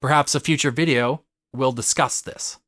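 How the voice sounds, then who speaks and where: A man's voice: mid-range voice, fairly even intonation, moderate pace, fairly bright tone, no room sound, studio quality. A man narrating a scripted video essay to viewers in a formal, articulate and emphatic manner. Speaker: video essayist and theatre artist.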